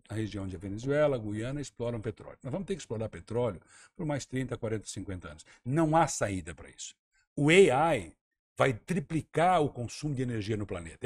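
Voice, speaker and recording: A man from Santa Catarina, Brazil, a former tourism minister, speaking emphatically and argumentatively as a guest on a radio talk-show interview. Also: medium pitch. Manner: emphatic, argumentative, repeats words while thinking.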